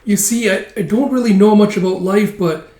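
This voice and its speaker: Man acting out tiny male elf, high shifted voice, excitable, good-hearted, stammering, scolding, storytelling, roomy echo. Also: normal speed, lightly acted. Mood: earnest, friendly. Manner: exclamatory, stammering when upset.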